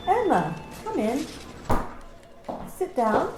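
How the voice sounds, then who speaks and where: A woman playing a coolly clinical office manager in an amateur radio play, asking personal questions in a calm, matter-of-fact, bureaucratic way. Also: high-pitched, very slow, roomy sound, loud music behind the voice, full character voice. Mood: businesslike.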